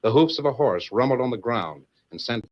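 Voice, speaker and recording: A man's voice: medium pitch, expressive, fairly quick. Dramatic old-time radio male narrator. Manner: declamatory, suspenseful. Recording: dull vintage radio, music behind.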